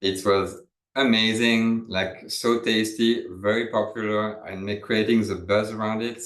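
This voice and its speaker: A man's voice: lowish voice, flat delivery, unhurried, fairly bright tone, reverberant, poor audio. A man from France with a French accent, presenting a business webinar in a loose, conversational way with restarts.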